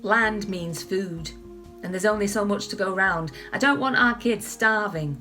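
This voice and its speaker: Worried mother fighting for her family, forceful bright voice, radio-play delivery, faint music. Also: mid-range voice, a little slow. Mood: grim, defiant. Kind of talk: audio drama acting.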